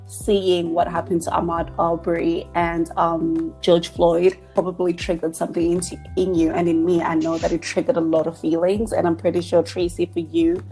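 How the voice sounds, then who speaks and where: A woman's voice: lowish voice, flat delivery, moderate pace, few pauses, warm tone, soft background music. A young woman from Zimbabwe co-hosting a podcast, talking reflectively and thinking aloud in casual chat. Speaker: podcast co-host.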